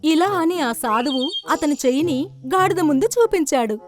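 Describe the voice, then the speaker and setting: A woman's voice: high-pitched, animated, moderate pace. A woman narrating a scripted children's story. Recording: music bed behind the voice.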